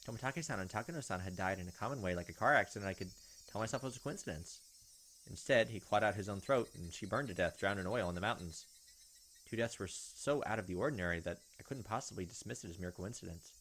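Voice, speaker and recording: A man's voice: on the low side, fast talker, a bit bright, a little hiss or hum. A man reading visual novel text aloud as a let's player, dropping in casual asides. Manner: casual asides between read lines. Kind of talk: narrating and commenting over a visual novel.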